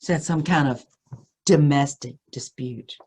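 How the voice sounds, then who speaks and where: American woman, kinda low and husky, reading a scary story out loud, drawn out and suspenseful. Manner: expressive, suspenseful delivery with dramatic pauses. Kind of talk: dramatic first-person scary story reading.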